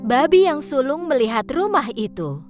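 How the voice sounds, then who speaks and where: A woman's voice: high-pitched, big pitch swings, normal speed. A woman narrating a scripted children's story as a storyteller. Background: music.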